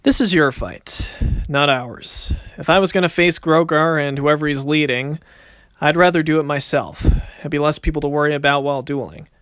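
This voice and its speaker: A man's voice: fairly high pitch, normal speed, a bit bright, no echo, studio quality, close to an everyday voice. A man voicing a confident, cocky but good-natured young card duelist in casual, swaggering banter for an amateur anime-style series. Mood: casual, friendly.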